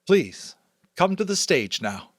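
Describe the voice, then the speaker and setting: Man voicing a commanding, high-pitched female chief elder. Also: very animated, dry recording, studio quality, heavily acted. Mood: solemn, formal. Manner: firm, declamatory, deliberate. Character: solemn commanding female chief elder addressing her community. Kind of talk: dramatic audiobook character voice.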